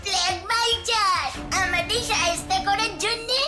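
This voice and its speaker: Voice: very high-pitched, moderate pace. A performer voicing a small, helpless boy shrunk to ant size in a children's cartoon, plaintive and childlike. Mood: dejected. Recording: music behind.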